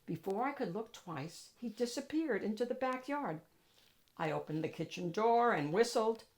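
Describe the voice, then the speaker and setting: A woman reads a children's picture book aloud as host, with expressive, dramatic emphasis. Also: a little high, normal speed. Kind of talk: animated storybook narration for children.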